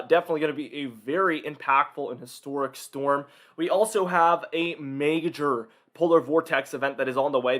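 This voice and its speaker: A man hosting an informal weather briefing, emphatic in his phrasing, his voice slightly nasal or hoarse from a cold. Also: mid-range voice, a bit bright. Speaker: weather forecaster and video host.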